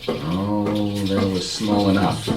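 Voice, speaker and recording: A man playing a grave, haunted old sergeant major in an old-time horror radio play, ominous and halting. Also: fairly low pitch, steady tone, a little hiss or hum. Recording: roomy distant stage recording. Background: loud music.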